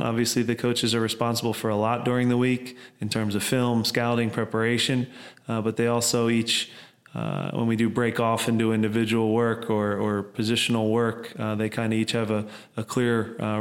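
A middle-aged man, a soccer head coach, answering interview questions at length, rambling with many fillers and restarts. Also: fairly low pitch.

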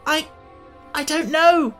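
Woman as a high, excitable storybook boy. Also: normal speed, soft background music, lightly acted. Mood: distressed. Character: plucky, excitable, easily irritated young boy.

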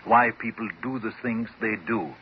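An actor playing a pompous, defensive psychiatrist, speaking in a formal, measured, hesitant way in a 1950s radio drama. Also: male voice, on the low side, fairly even intonation, close to an everyday voice. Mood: stern, defensive. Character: pompous, bearded male psychiatrist, measured, defensive under threat. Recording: dull, hissy old 1950s radio recording.

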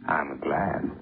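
An actor playing a slow, smug and menacing gangster boss in a 1950s radio drama, with halting, ungrammatical tough-guy talk and deliberate pauses. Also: very deep voice, gravelly, heavily acted. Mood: mocking, threatening. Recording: muffled, noisy old radio recording.

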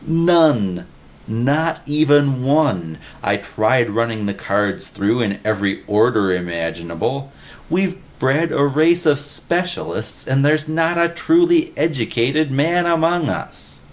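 A man's voice: mid-range voice, expressive, takes it slowly, pitched above the performer's own voice. A man voicing an exasperated, educated male boss who talks emphatically in rhetorical questions, in a storybook reading.

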